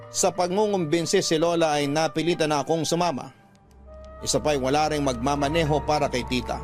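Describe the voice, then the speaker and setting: A man reading a listener's confession as scripted narration for a radio drama storytelling show. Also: fairly high pitch. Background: faint music.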